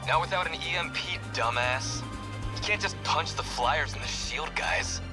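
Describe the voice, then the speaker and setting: A hot-headed young tough played by a male actor in a video game, brash and slangy. His fairly high male voice has a coarse street-brawler manner, with clear music behind it. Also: normal speed, lightly acted. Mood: scornful. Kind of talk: English game dub voice acting.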